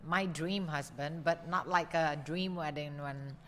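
Earnest young woman in a boomy room. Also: fairly even intonation, a bit bright, big-room sound, a little hiss or hum. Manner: earnest, halting, with many restarts. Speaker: co-host, wife of the course creator. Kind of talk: casual sales testimony chat. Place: home living room, indoors. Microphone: studio mic on boom arm.

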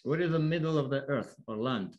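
A man with a Lithuanian accent gives an explanatory online lecture in halting, non-fluent English. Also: mid-range voice, a little mellow, muffled recording. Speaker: observatory worker giving a lecture. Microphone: online video call.

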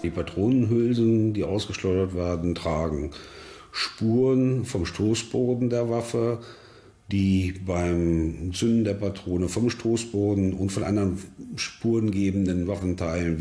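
Very deep, roomy-sounding forensic expert played by a man. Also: dark tone. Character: sober male forensic firearms expert. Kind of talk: documentary interview voice-over.

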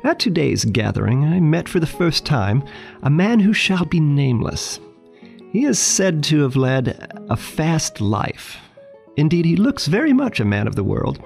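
A man playing a pompous schoolteacher musing over his diary, with gravelly voice and formal phrasing, in a radio story. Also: medium pitch, a little mellow.

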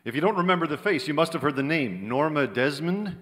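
A man's voice: a little high, lively intonation, fairly quick, a bit bright. A middle-aged man playing a cynical, bitter screenwriter in hard-edged old-time radio drama style. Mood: bitter sarcasm. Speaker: stage radio actor. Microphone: vintage-style stand microphone. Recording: hall reverb, live stage performance.

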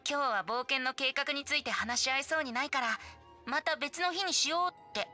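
A woman's voice: sits high, fairly quick, pushed and bright, soft background music. A woman voicing a breezy, playful youthful boy bard in a video game, easygoing and cheerful. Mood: casual, friendly. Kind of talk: Japanese video game voice acting.

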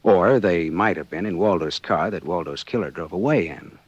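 Low, husky wisecracking private eye. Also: male voice, moderate pace, no room sound. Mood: businesslike. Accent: American. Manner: sardonic, glib. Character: wisecracking hard-boiled male private detective. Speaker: lead actor and narrator. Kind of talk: 1940s radio acting. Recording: muffled, lo-fi 1940s radio recording.

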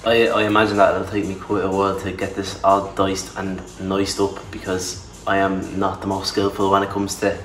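Young male vlogger, low flat voice, off-mic in a roomy kitchen. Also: moderate pace, few pauses, far from the mic. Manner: relaxed, chatty, self-deprecating. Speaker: fitness vlogger. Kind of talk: casual cooking walkthrough. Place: large home kitchen, indoors. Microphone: camera mic at a distance.

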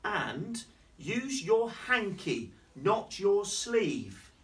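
Magical flying babies from a picture book, bossy and prim, drawing every word out slowly and a touch higher, as a man puts them on. The voice carries from across a classroom. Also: very animated, a bit bright, recorded from a distance, exaggerated voice. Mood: scolding.

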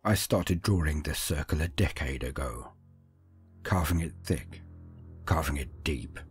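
Man as a low, brooding village sorcerer. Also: breathy edge, soft background music, exaggerated voice. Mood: brooding resolve. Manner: hushed, deliberate, brooding. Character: brooding, defiant village sorcerer, once an apprentice. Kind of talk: dark fantasy first-person storytelling.

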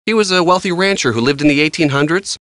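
A man's voice: a little high, quick pace, fairly bright tone, dry recording, clean studio sound, close to an everyday voice. An actor playing an earnest young American handyman in a video game, talking casually and a little defensively. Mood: friendly, casual. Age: young adult. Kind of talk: conversational video game dialogue.

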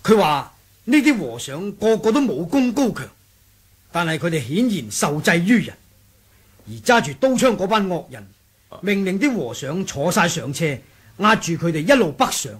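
A man's voice: high voice, big pitch swings, moderate pace, slightly rough, full character voice. A man with a Hong Kong Cantonese accent playing a deferential rebel soldier reporting formally to his leader in a radio drama. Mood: grave, reporting. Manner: formal, storytelling, respectful. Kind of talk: 1980s radio drama acting.

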